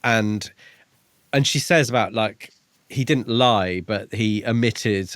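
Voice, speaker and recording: Lower-voiced man in thoughtful podcast chat. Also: takes it slowly, a bit bright, no echo. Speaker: podcast co-host. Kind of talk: casual book discussion chat.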